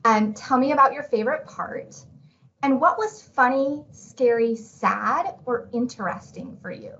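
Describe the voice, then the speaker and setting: A woman's voice: on the high side, fairly bright tone. A woman presenting an instructional webinar as an educator, guiding listeners with open-ended questions. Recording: dull lo-fi webinar audio.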